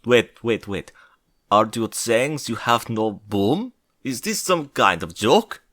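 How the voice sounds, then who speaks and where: Guy doing a blunt male prime minister, scoffing, emphatic, drawn out words, animated. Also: mid-range voice, takes it slowly. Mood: incredulous.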